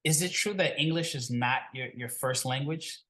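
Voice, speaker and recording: A man's voice: mid-range voice, moderate pace, bright, forceful tone. Casual male podcast host asking questions. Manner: conversational, loose phrasing with "like" and restarts. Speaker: podcast host, draft analyst.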